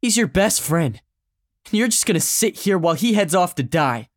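A man's voice: sits high, sing-song, moderate pace, dry recording, studio quality, pitched above the performer's own voice. A man voicing a skinny, fiery, indignant teenage boy in an audiobook. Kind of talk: audiobook character dialogue.

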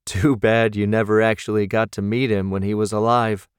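A man's voice: on the low side, flat delivery, normal speed, clear, resonant tone, no room sound, studio quality, natural voice. A man voicing a defensive young man grieving his friend, speaking curtly. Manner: curt, defensive.

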